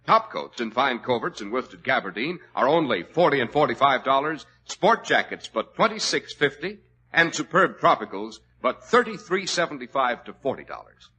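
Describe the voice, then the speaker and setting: Bright, punchy 1940s radio announcer, a man. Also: mid-range voice, big pitch swings, slightly rough, rough recording. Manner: brisk, salesy, emphatic. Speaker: radio announcer and sponsor host. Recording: old radio broadcast recording.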